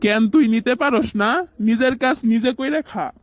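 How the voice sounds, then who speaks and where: High-voiced teasing cartoon big brother played by a man. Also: measured pace, no echo, clean studio sound. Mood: mocking. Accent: Bangladeshi. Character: teasing, contrary older brother. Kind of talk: comic cartoon dialogue.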